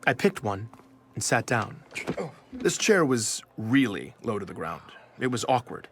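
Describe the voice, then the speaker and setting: An actor playing a nervous investigative journalist in a scripted first-person audio drama. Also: male voice, medium pitch, sing-song, slight background noise. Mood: measured, uneasy. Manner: measured narration, hesitant in dialogue. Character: nervous male investigative journalist narrating his own story. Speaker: narrator.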